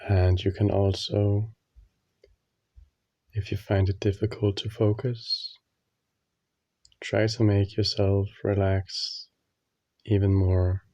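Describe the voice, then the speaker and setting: A man leading a guided meditation, speaking calmly and soothingly with long pauses. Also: fairly low pitch, dry recording. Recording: dull, muffled lo-fi audio.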